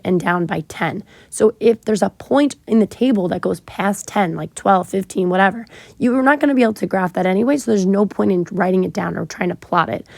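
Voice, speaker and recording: A woman teacher, sick and hoarse, patiently walking her students through math homework step by step with frequent ums. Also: medium pitch, darkish tone, no room sound. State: sick, partly lost voice. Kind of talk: recorded math lesson walkthrough.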